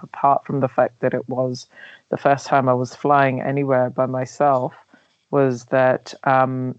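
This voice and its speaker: A woman in her thirties with a London accent, a travel blogger answering interview questions thoughtfully with many pauses and fillers. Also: rumbling low, darkish tone, dry recording. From Nigeria. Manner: hesitant, many ums, thoughtful. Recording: dull dry recording.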